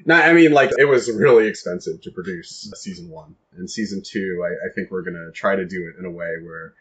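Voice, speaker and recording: A man chatting casually as an interview guest, halting and joking at his own expense. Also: low-pitched, fairly bright tone. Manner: self-deprecating, joking, halting. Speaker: interview guest, playwright and podcast creator. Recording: dull lo-fi with clear background noise.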